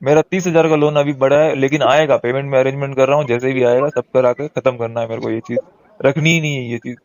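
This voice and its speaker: A man's voice: mid-range voice, fairly even intonation, fast, no room sound. A man speaking as a borrower in a heated phone call, pleading and insistently repeating himself. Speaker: borrower on a debt collection call.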